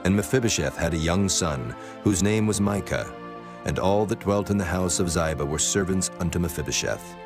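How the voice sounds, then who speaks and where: A man narrating scripture from a script in a solemn, measured manner. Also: sits low. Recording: music bed under the voice.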